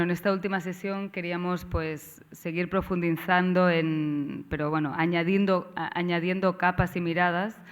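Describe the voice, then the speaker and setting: A woman with a Catalan accent, whose first language is Catalan, opens a seminar session as its organizer, talking haltingly with frequent tag questions and restarts. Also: fairly low pitch, a bit bright, smooth. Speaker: course organizer and moderator. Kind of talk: seminar introduction.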